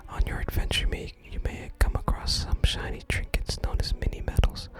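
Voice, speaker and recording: A person whispering ASMR commentary while playing a video game and reading its text aloud, in a breathy, unvoiced whisper. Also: moderate pace.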